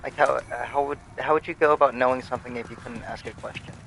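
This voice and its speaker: A man streaming a game, musing on philosophy in a rambling, thoughtful way with many pauses and fillers. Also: steady tone, creaky, fairly bright tone, a little hiss or hum, low-quality recording. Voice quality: low-voiced. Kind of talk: casual musing while livestreaming a game.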